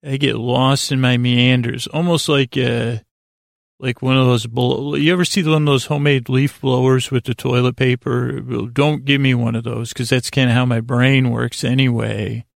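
A man hosting a bedtime podcast in a lulling, rambling monologue, halting and self-deprecating as he circles back on himself. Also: medium pitch, flat delivery, creaky, no echo, studio quality. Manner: lulling, soothing delivery. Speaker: podcast host.

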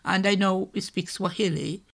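A woman's voice: mid-range voice, fairly even intonation, moderate pace, vocal fry. A woman from Kenya hosting a podcast, asking curious, encouraging questions. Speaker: podcast host. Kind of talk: interview.

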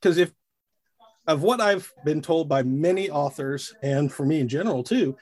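A middle-aged man, a voice actor, talking casually in an interview with a slightly husky voice and lively delivery. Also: on the high side, moderate pace. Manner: animated, demonstrates character voices mid-sentence.

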